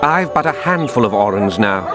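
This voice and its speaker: A man's voice: mid-range voice, animated, moderate pace, music in the background, natural voice. Man playing a surly, swooping town official. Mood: grumbling, wary. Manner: grumbling, blunt. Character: surly, harried, coarse male town official paying for monster contracts.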